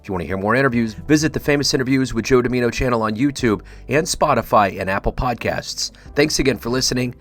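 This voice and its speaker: A man's voice: mid-range voice, fast, hardly any pauses, a bit bright. A man reading a scripted sign-off as the host of an interview show.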